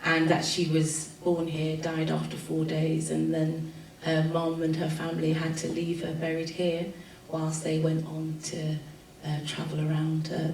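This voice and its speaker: A woman from London with a London accent gives a reflective, informal panel talk, pausing on ums and restarting her sentences. Her voice sits low and stays flat in pitch. She is picked up from a distance in an echoey room with a faint hum of ambience behind her. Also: creaky. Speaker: panel speaker, writer. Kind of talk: spoken presentation at a panel. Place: event room at a festival panel.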